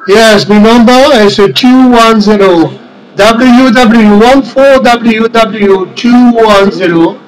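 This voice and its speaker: A man from France with a French accent, a native French speaker, making a radio contact as an amateur operator in a halting, repetitive way. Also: high voice, poor audio. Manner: halting, repeats numbers and phrases.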